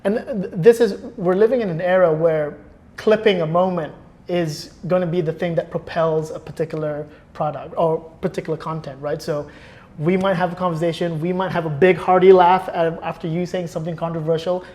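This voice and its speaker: A man's voice: a little high, steady tone, a little fast, darkish tone. A man speaking as a podcast guest, explaining his opinions in a thoughtful, conversational way and often restarting phrases. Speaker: podcast guest, former radio producer. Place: indoor podcast recording.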